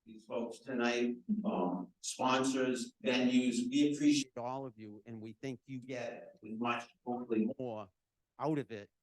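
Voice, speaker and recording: A man with a deep, carrying voice welcoming an audience as the association's chapter president, talking loudly and chattily without a microphone. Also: a little slow. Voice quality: deep voice that carries. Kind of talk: welcoming remarks at a live event. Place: event room at a nonprofit center. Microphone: no microphone, recorded from the room. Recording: echoey room.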